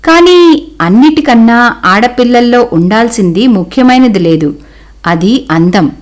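A woman tells a cartoon moral tale as its narrator. Her delivery is scripted storytelling, with a highly varied, animated pitch. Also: unhurried.

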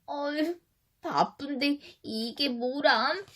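Lady doing a whiny little prince boy for a storybook, high voice, grumbly, thinks he's important. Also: extremely slow, fairly bright tone, full character voice. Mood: whining, distressed. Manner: exclamatory, grumbling.

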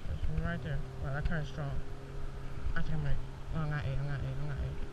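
A teenage boy vlogging his fishing trip on jetty rocks by the ocean, chatty and excitable, with many fillers. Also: fairly high pitch, fairly quick. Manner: excited, rambling, lots of fillers. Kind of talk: casual fishing vlog commentary. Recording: noisy outdoor wind.

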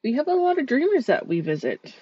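Sweet, shy dream eater spirit, a woman voicing her, soft soothing ASMR delivery, dry close mic. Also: high-pitched, very animated, brisk, slightly rough, dry recording, dull audio, exaggerated voice. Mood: gentle, soothing. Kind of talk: ASMR sleep-aid roleplay.

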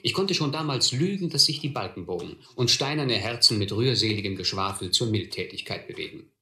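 A man's voice: lowish voice, animated, fairly quick, pushed and bright, echoey room. An actor playing a grown man who wryly and reflectively narrates his vagabond youth in a German radio play. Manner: wry, reflective.

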